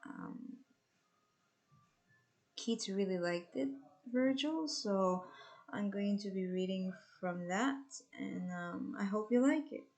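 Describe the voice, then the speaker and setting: A woman giving an amateur, emotional and expressive first-person reading of poetry. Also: mid-range voice, takes it slowly, long pauses.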